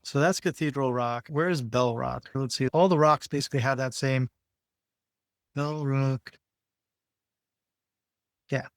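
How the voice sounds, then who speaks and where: A man with a mid-pitched voice talks informally, explaining as he goes. He thinks aloud in a halting, conversational way, and the recording is dry with little room sound. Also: fairly even intonation, no echo. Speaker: podcast co-host. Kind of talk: casual explanatory talk.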